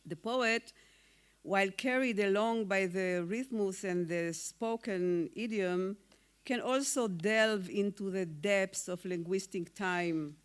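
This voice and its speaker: Slow, measured woman, smooth clear voice. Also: mid-range voice. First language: Hungarian. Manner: slow, measured, deliberate reading. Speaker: award-winning poet. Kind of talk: formal acceptance speech.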